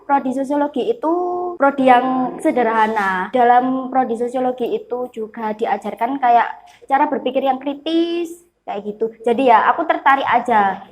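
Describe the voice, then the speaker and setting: A woman's voice: on the high side, moderate pace, rough recording. A young woman, a sociology student, talking casually in a light, easygoing way. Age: young adult. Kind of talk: casual chat.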